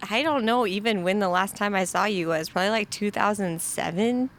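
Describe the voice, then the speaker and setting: A woman's voice: mid-range voice, brisk, a bit bright. A woman in her thirties from Nebraska, hosting a casual podcast chat, giggly and full of fillers. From Nebraska, USA. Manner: giggly, chatty, many fillers. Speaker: podcast host.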